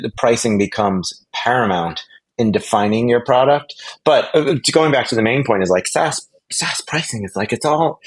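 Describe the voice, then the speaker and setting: A man speaking casually as an interview guest, animated and emphatic, rambling through false starts and repeated words. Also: fairly low pitch, breathy edge, low-quality recording. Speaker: interview guest, product executive.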